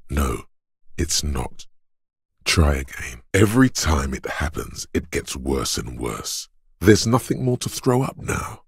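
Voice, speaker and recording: Very deep, gravelly inner-voice narrator. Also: male voice, sing-song, takes it slowly, dry recording, studio quality, full character voice. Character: inner voice of bodily endurance, stern, grave narrator. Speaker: game voice actor. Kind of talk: scripted second-person game narration.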